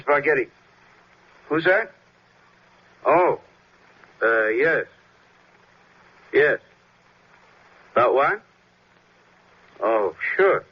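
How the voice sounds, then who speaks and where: Earnest police detective, muffled radio. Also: male voice, medium pitch, slightly rough, full character voice. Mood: businesslike. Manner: conversational, hesitant starts, clipped report. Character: earnest, uneasy male police detective colleague. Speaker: supporting actor. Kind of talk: 1950s radio police drama dialogue. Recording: muffled old radio recording, faint noise.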